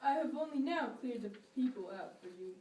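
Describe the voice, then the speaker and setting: A humble rustic marshal played by a woman, deferential to his betters, pitched fairly high. The amateur drama echoes around a room with faint noise. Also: animated, brisk, mellow, far from the mic. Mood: meek, deferential. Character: humble, tipsy male prison marshal. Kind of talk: amateur stage-play acting.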